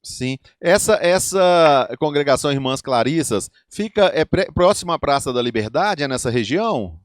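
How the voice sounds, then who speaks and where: A woman's voice: sits low, animated, normal speed. A woman with a Brazilian accent hosting a conversational radio interview, respectfully prompting the guest.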